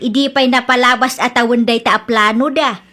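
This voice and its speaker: A woman's voice: a little high, steady tone, fairly quick, bright, forceful tone. A woman voicing an older mother who runs a rice store, in a radio drama. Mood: worried. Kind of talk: Ilocano radio drama acting.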